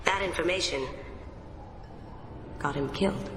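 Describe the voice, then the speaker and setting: Woman acting as sly female game thief, lowish voice, playful and coy, echoing hall, music behind. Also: measured pace, background noise, natural voice. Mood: serious, confiding. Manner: playful, coy, casually confident. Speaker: video game voice actor. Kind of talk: game cutscene dialogue.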